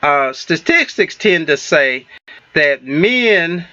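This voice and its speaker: Southern male host, slightly high voice, chatty and halting. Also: very animated, sharp tone, no room sound, dull audio. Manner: halting, many fillers and restarts, laughs easily. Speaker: talk show host. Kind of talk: casual radio talk show chat.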